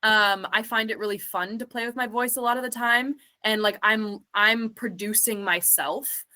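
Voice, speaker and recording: A woman's voice: fairly high pitch, fairly even intonation, moderate pace, bright, forceful tone. A woman singer and self-producing artist, answering interview questions in a chatty, reflective way full of fillers.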